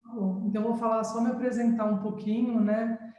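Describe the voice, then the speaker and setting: A woman's voice: a little high, flat delivery, fairly quick, mellow. Thoughtful woman on an echoey video call. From France, raised in São Paulo. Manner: thoughtful, searching for words, frequent tag questions. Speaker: visual artist presenting her work. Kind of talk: informal talk over a video call. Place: her art studio. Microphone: video call microphone.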